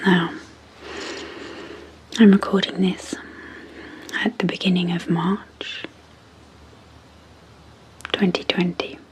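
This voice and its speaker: A woman speaking softly and breathily in a gentle, measured ASMR sleep hypnosis style, leaving long pauses between short phrases. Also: lowish voice, little pitch movement, noisy background. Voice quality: soft, breathy, near-whispered. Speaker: psychologist hosting an ASMR channel. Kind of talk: soft-spoken ASMR sleep hypnosis introduction.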